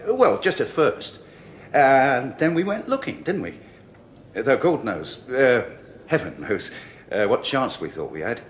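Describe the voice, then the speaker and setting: A man's voice: medium pitch, sing-song, measured pace, a little hiss or hum. An actor playing a chummy, jokey male friend who laughs a lot and later stammers nervously as a witness in a radio drama. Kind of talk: 1980s radio drama acting.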